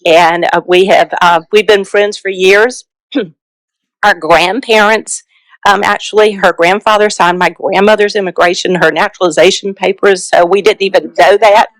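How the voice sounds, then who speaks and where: A woman's voice: mid-range voice, steady tone, moderate pace, dry recording, poor audio. A middle-aged woman from South Carolina, an author giving a chatty book talk with frequent fillers.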